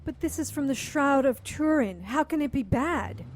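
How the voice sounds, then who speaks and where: A woman's voice: high-pitched, animated, moderate pace. An actress playing an earnest, anxious woman with a dangerous fire power in an audio drama. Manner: earnest, questioning. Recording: road noise behind voice. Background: car engine ambience.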